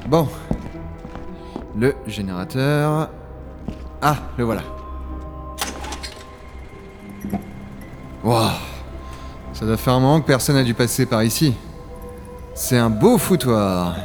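An actor playing a gruff, impatient but well-meaning dragon hunter in a French sci-fi audio drama, speaking in blunt colloquial language. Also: male voice, mid-range voice, lively intonation, unhurried, long pauses. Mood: curious, wary. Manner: colloquial, swearing, matter-of-fact. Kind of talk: French science-fiction audio drama with first-person narration. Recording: music behind the voice.

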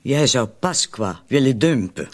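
A man's voice: mid-range voice, expressive, unhurried. A man playing an anxious, doubting accomplice in a radio drama, with a hesitant, questioning way of talking. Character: anxious, doubting, wordy accomplice in a murder plot. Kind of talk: Dutch-language radio drama acting.

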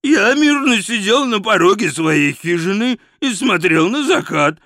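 Male narrator as storybook sea troll, bemused, high voice, very lively swoops, deliberate delivery. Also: no echo, studio quality, heavily acted. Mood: puzzled.

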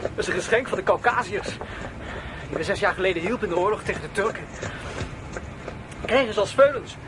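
A tender young horseman in a radio drama, played by a man, speaks softly and intimately in a fairly high male voice. Clear background noise surrounds him. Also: fairly quick, natural voice. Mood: earnest. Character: young male horseman, tender, courting, mind-reading traveller. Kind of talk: Dutch radio drama acting.

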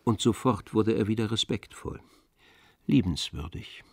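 A man narrating a literary radio drama from a script, measured and composed. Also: lowish voice, animated, dry recording, studio quality.

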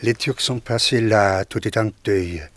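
An actor playing a cultured old gentleman who solemnly recites poetry in a radio play. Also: lowish voice, unhurried, dry recording, lightly acted. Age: older.

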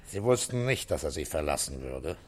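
An actor playing a brusque police commissioner in a German radio play, speaking in terse, probing questions and short grunts. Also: male voice, a bass voice, sing-song, normal speed, slightly rough, exaggerated voice. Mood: probing, calm.

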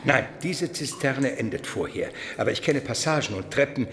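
A man playing a learned, calm Byzantine guide who explains things articulately in a radio drama. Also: mid-range voice, moderate pace, close to an everyday voice. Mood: knowing, explanatory. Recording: echoey vaulted space with clear background noise.

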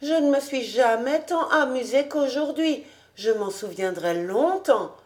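A woman voicing a sweet, shy young country girl with a soft, gentle voice in an audiobook. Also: sits high, lively intonation, a little slow, pitched above the performer's own voice. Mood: delighted. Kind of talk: audiobook storytelling.

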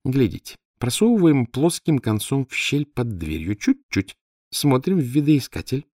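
A man's voice: medium pitch, very animated, moderate pace, warm tone, no room sound, studio quality, voice pushed up. A man reading an audiobook who voices a bold, boastful young female assistant skilled in karate. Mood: cheeky. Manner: cheeky, boastful.

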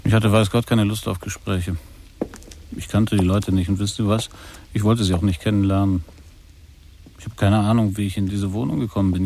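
An actor playing a gloomy, unsociable, hard-drinking young cornettist in a radio play, weary and brusque. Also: male voice, on the low side, gravelly, dark tone, slight background noise.

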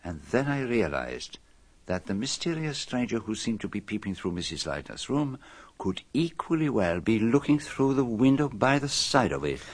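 Low-voiced, deliberate theatrical detective. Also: male voice, sing-song. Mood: measured, probing. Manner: deliberate, formal, dramatic emphasis. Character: famous male detective, methodical, self-assured, theatrical in revealing a murderer. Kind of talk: radio drama monologue.

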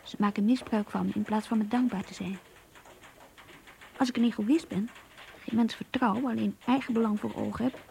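Radio drama diarist: idealistic young woman, troubled and searching, mellow tone, fairly high voice. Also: creaky, slight background noise. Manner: introspective, pleading, searching. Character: earnest, troubled idealistic young female office worker, confiding. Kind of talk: radio play diary monologue.

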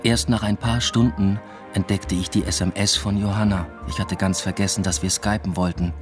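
An actor playing an aimless doctoral student in a radio drama, speaking in an understated, reflective way. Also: male voice, deep voice, steady tone, moderate pace, over music, natural voice. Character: aimless, evasive male German doctoral student narrating his New York stay. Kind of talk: literary radio drama, first-person narration and dialogue.

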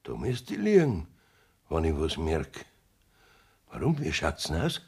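A gravelly, blustering Bavarian village judge. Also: male voice, extremely low pitch, wildly swooping pitch, a little slow, a little mellow, heavily acted. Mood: defensive, uneasy. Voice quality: gravelly, husky. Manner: grumbling, exclamatory, evasive. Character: battered, evasive village judge, gruff and blustering. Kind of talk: dialect radio play acting, 1970s.

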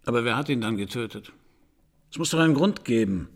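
An actor playing a world-weary, hard-boiled detective in a radio crime drama, with a gravelly voice and a dry, understated, probing manner. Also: male voice, mid-range voice, expressive, brisk. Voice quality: gravelly, husky. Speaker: actor in lead role and narrator.

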